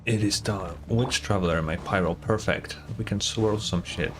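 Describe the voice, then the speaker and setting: A man's voice: fairly low pitch, normal speed, music in the background. A man livestreaming a game, commenting casually in a laid-back, slangy way and reading game text aloud.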